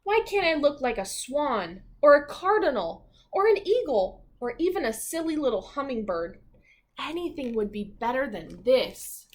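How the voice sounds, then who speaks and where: Woman playing an eager, high-pitched young raven. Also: very animated, moderate pace. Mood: self-pitying. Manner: exclamatory, dramatic. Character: young male raven, vain, self-pitying, eager.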